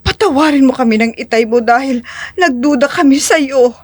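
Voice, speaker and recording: A woman's voice: high voice, moderate pace, a little mellow, no echo. A woman playing a remorseful, loving mother in an audio drama, pleading emotionally. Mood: tearful, pleading.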